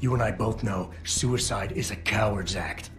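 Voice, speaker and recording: An actor playing a tough, unyielding video game hero who talks in a terse, controlled, confrontational way. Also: male voice, fairly low pitch, moderate pace, fairly bright tone, soft background music. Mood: blunt, scolding. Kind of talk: dramatic video game voice acting.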